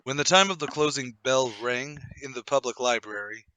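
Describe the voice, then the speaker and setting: A man's voice: mid-range voice, moderate pace, pushed and bright, no echo. A man hosting and narrating an audio drama table read with theatrical, old-time announcer showmanship.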